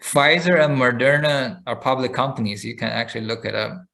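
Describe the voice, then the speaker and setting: A man with a voice of ordinary, middle pitch co-hosts a casual podcast. He talks in a relaxed, loose way, filling pauses with "uh" and restarting his sentences as he tells a story. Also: creaky. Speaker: podcast co-host. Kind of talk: casual chat.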